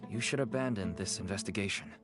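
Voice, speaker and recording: A man's voice: on the low side, fast talker, fairly bright tone, lightly acted. An actor playing an anxious, pleading merchant father in a video game, speaking earnestly and persuasively. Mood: warning. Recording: game music behind.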